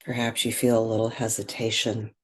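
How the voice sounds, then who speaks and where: A woman leading a guided meditation in gentle, unhurried phrases with pauses. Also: a bass voice, flat delivery, husky.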